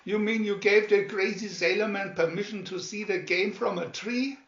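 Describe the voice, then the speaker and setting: Storybook policeman played by a man: high, incredulous, jeering, in dull roomy sound. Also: normal speed, fairly bright tone. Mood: mocking amusement. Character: jeering, amused cartoon stadium policeman. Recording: dull, roomy home recording.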